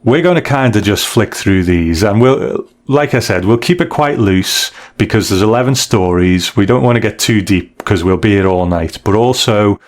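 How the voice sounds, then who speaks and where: Low-voiced man hosting a podcast. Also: expressive, moderate pace, few pauses. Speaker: podcast host. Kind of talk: casual podcast discussion.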